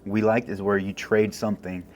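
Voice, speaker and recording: A young man, a student veteran, telling a story in an interview in an earnest, halting way with fillers. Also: lowish voice, little pitch movement, darkish tone, a little hiss or hum.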